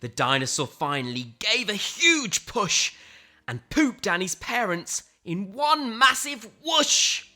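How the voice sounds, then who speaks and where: A woman reading a children's picture book aloud in a theatrical way, with dramatic emphasis and suspenseful pauses. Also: mid-range voice, sing-song, sharp tone. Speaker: storybook reader.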